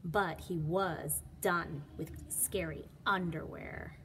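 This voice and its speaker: A woman's voice: on the low side, steady tone, takes it slowly, a bit bright, slight background noise. A woman reading a children's storybook aloud as a teacher, with dramatic, emphatic delivery.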